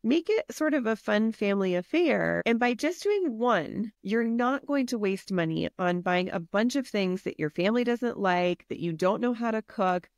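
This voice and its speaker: A woman's voice: mid-range voice, expressive, normal speed, hardly any pauses, dry recording, clean studio sound. A woman health and wellness coach, a podcast guest, talking in an earnest, encouraging, practical way. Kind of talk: podcast interview.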